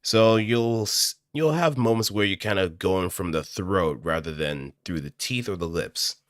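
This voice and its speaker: A man talking casually and off the cuff as he explains voice acting, thinking aloud in an animated, demonstrative way. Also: low-pitched, a little slow, no echo, studio quality. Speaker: amateur voice actor. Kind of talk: casual explanatory talk, improvised voice acting tutorial. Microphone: close studio microphone through audio interface.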